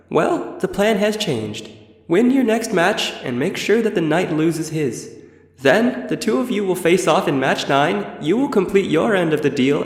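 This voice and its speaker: A man's voice: fairly high pitch, pitched above the performer's own voice. A man voicing a cunning old undead elf. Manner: polite, formal, coolly threatening. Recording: reverberant roomy echo.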